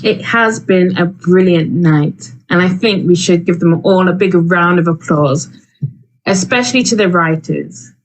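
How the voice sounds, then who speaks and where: A woman's voice: fairly low pitch, measured pace, poor audio. A woman co-hosting a live online event from home in a casual, chatty style. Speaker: co-host. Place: at home on a video call.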